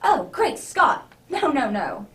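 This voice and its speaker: A woman playing a genial, easily flustered older English gentleman narrator in a radio drama, with avuncular, old-fashioned exclamations. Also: high voice, wildly swooping pitch, slow, exaggerated voice. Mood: flustered, good-natured. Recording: distant microphone.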